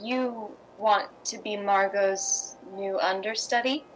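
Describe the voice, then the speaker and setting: A worldly, reassuring wife in a 1950s radio play, acted by a woman. Her mid-pitched voice is poised and measured, with a wry storyteller's touch. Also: steady tone, soft background music, lightly acted, poor audio. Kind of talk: 1950s radio drama acting and narration.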